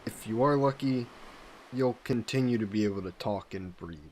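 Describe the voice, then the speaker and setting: A man playing a possessive sea monster in a roleplay audio drama, speaking in measured, formal phrases that are coolly threatening and flirty. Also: on the low side, a little mellow, slight background noise, close to an everyday voice. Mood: flirty menace. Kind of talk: scripted roleplay audio drama, intimate monologue.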